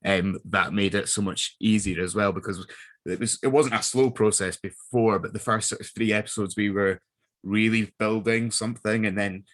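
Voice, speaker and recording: A man talking casually and reflectively in an interview as a voice actor, choosing words haltingly. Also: lowish voice, steady tone, a bit bright.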